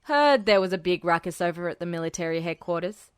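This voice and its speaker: A bluff, casual local policeman acted by a woman reader, pitched below the reader's normal voice. He is a character in a read-aloud story, recorded dry and without room sound. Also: lively intonation, fairly quick, clear, resonant tone, no room sound, close to an everyday voice. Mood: feigned casualness. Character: friendly local policeman feigning casual ignorance.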